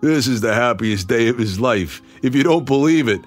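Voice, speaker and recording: A man's voice: mid-range voice, expressive, moderate pace, faint music behind, lightly acted. A man acting a sardonic, menacing tough guy bent on revenge in a radio drama, terse and mocking. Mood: bitter taunting. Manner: terse, mocking, hard-boiled.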